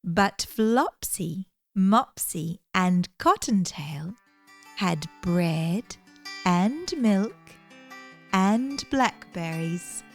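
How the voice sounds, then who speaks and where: Slow-paced woman reading a children's storybook. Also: mid-range voice, expressive, faint music behind. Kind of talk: children's storybook read-aloud.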